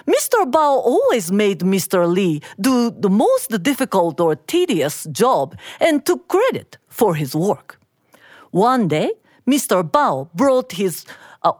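Unhurried, animated woman storyteller from Japan. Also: medium pitch, dry recording, clean studio sound. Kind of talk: live storytelling of a folktale. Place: studio, live before an audience.

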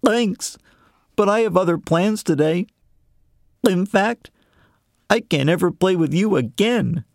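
A man's voice: high voice, lively intonation, slow, vocal fry, no echo, studio quality, pitched above the performer's own voice, heavily acted. A man voicing a cheerful, eager young male frog in a told folktale. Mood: apologetic. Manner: bright, eager, pleading.

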